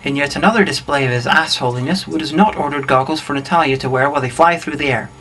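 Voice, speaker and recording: A man's voice: fairly high pitch, quick pace, a bit bright. A man reading a scripted comedic plot recap as co-narrator, in a dry, wry manner. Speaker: co-narrator of a comedy film review. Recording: faint background noise.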